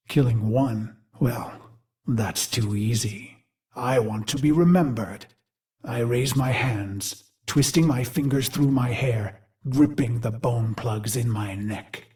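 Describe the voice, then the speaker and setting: A man voicing a brooding warrior machine mind in a video game, recalling a brutal past in a grave, theatrical monologue with deliberate phrasing. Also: medium pitch, darkish tone, natural voice. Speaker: game character narrator. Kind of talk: dramatic scripted game monologue.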